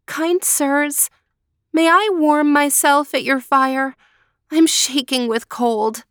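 A woman doing a gentle, high-voiced orphan girl. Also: fairly even intonation, slow, no echo, studio quality. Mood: polite pleading. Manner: pleading, polite. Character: gentle, sweet, downtrodden young girl.

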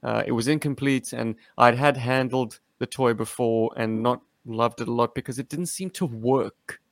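A man's voice: mid-range voice, moderate pace, creaky, no echo, studio quality. A man hosting a casual, slangy chat about action figures as a collector. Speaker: podcast host and toy collector.

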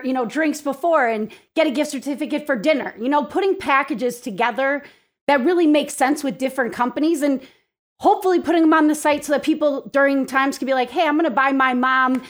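A woman giving enthusiastic, informal answers as a guest in a casual interview, speaking as the president of a local organization. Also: sits high.

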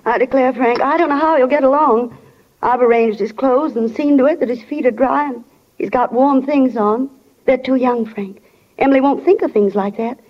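A woman's voice: high-pitched, normal speed, a little hiss or hum, natural voice. A woman playing a fretful, motherly middle-aged small-town wife in 1940s radio style, homely and fussing. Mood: worried, tearful. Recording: muffled, echoing old radio recording.

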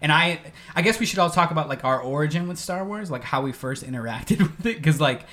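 A man's voice: a little high, lively intonation, fast talker, sharp tone. A young man hosting a podcast in casual chat, rambling and laughing often. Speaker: podcast host.

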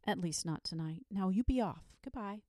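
A woman's voice: mid-range voice, lively intonation, normal speed, warm tone, no room sound, studio quality, close to an everyday voice. Woman as an abrupt but kindly storyteller man. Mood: kindly dismissive. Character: abrupt, kindly but firm man telling children stories. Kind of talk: storybook character voice.

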